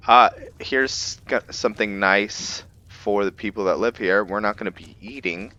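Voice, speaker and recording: A man's voice: lowish voice, a little slow, bright, forceful tone, lo-fi sound. A man giving casual, musing commentary as he role-plays through a game, talking as "we". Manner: musing, speaks as "we". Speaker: gamer narrating a role-play.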